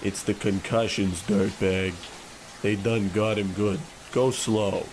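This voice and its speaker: A man playing a self-assured head goon and smooth-talking extortionist with florid patter, in a comic radio play. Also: lowish voice, steady tone, slow, a little mellow, slight background noise. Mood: chiding. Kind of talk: comic old-time radio play acting.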